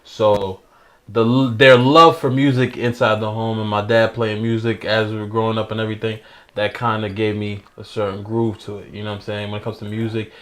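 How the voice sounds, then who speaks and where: A man's voice: fairly low pitch, flat delivery, a little fast, fairly bright tone. A young man born in Ethiopia and raised in New York, talking casually and affirmingly as an interview guest in a small studio. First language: French. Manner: laid-back, affirming, frequent "you know what I'm saying". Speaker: musician guest. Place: small studio room with art on walls, indoors.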